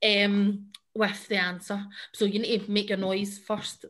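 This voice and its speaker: A woman's voice: medium pitch, flat delivery, unhurried, fairly bright tone. A young Scottish woman hosting a casual podcast and quiz over a video call, bubbly and chatty, laughing often. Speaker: podcast host.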